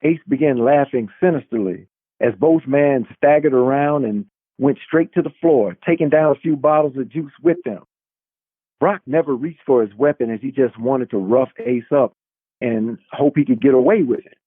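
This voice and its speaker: A man's voice: mid-range voice, normal speed, husky, no room sound, phone-quality sound. A man who is an author reading his own novel as dramatic narration, on a band-limited recording.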